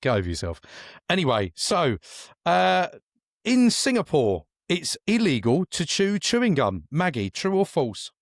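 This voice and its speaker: Lively, teasing male quiz host. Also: a little high, a little slow, no room sound, studio quality. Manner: playful, teasing, repeats questions. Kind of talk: casual quiz hosting.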